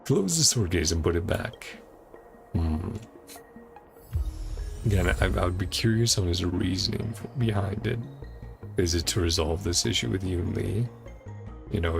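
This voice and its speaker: A man's voice: sits low, lively intonation, normal speed, creaky, music in the background. A man doing casual video game playthrough commentary, musing aloud and reading game text out as he goes. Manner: musing aloud, speculating to himself. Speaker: gaming content creator playing through a story quest.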